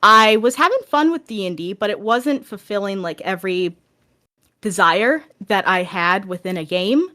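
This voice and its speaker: An enthusiastic woman speaks as a podcast guest in a casual, conversational style. Her voice sits at an ordinary middle pitch for a woman. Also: animated, moderate pace, pushed and bright. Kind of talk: casual chat.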